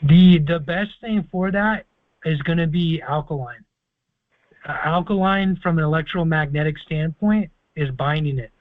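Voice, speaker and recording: A man's voice: a little high, normal speed, no room sound. A man hosting a conference call, confidently explaining things at length with plenty of fillers. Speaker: host of a call-in conference line. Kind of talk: casual call-in advice talk. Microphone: phone or conference call. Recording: tinny conference phone line.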